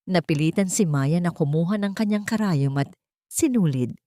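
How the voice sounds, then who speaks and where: A woman's voice: fairly low pitch, expressive, normal speed, dark tone, no echo, studio quality. A woman narrating a dramatic scripted audio story as a storyteller.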